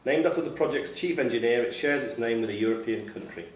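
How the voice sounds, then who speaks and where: Male quiz master, mid-pitched, reading questions in a roomy space with faint noise. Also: quick pace. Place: club room at a pub-style quiz event. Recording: echoey room, distant mic, faint noise.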